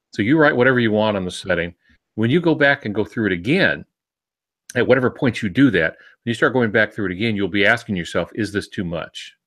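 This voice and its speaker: A man with a slightly deep voice talks casually on a panel. He gives advice plainly and reassuringly, as one of the show's regular voices. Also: sharp tone. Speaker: podcast panelist giving writing advice.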